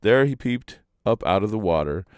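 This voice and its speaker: A man narrating legends as a storyteller, in a dramatic, expressive reading. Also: lowish voice, normal speed, clean tone, no echo, studio quality.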